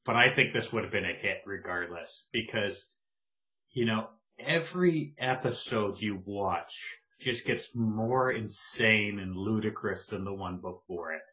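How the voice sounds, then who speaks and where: A man with a lowish but strikingly bright, forceful voice co-hosts a casual podcast. He is laid-back and teases his partner dryly. The recording is a bit dull and lo-fi. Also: a little slow. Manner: laid-back, dry teasing. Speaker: podcast co-host. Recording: dull lo-fi sound.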